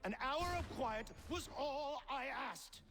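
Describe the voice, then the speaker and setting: A man's voice: very high voice, takes it slowly. A man playing an imperious, enraged fort commander villain in a video game cutscene, with pompous, theatrical delivery. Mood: outraged. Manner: pompous, theatrical, ornate orders. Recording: long hall reverb, music behind.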